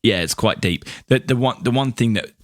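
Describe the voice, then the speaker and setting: A man's voice: mid-range voice, moderate pace, no echo, studio quality. A man co-hosting a podcast, presenting news in a conversational style. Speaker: podcast co-host.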